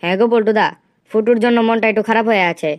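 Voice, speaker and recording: A man's voice: sits high, steady tone, rapid-fire, no room sound, highs cut off, natural voice. A man voicing a gossipy, crude young village lad in a comic cartoon. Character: foul-mouthed young village lad, eager gossiping sidekick.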